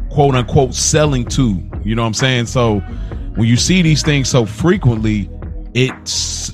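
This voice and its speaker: Slangy man chatting over music. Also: mid-range voice. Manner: informal, slangy, repeats phrases for emphasis. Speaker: podcast co-host. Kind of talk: casual podcast conversation.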